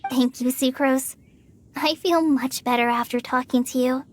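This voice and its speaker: A woman's voice: high voice, steady tone, unhurried, slight background noise. An actress voicing a timid, self-doubting teenage girl in a video game, sincere and unsure of herself. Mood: hesitant, friendly.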